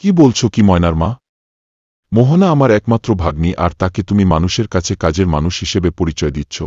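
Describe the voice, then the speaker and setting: A protective middle-aged uncle from a cartoon folk tale, played by a man. His voice is deep and mellow, with a stern, reproving delivery. The recording is close and dry. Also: animated, quick pace, dry recording, muffled recording. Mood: indignant, firm. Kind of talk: animated moral-story cartoon dubbing.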